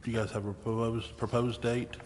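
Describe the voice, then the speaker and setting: The room rings slightly around a man with a medium-pitched voice. He chairs a public meeting in a procedural way, with faint noise underneath. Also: level tone, a little fast, darkish tone. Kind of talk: running a formal meeting. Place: council chamber. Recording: reverberant chamber, faint noise.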